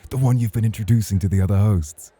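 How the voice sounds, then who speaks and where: A man playing a suave, teasing champion club host in an ASMR roleplay, with a husky voice and courtly manner. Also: lowish voice, fast talker, warm tone. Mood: courtly, charming.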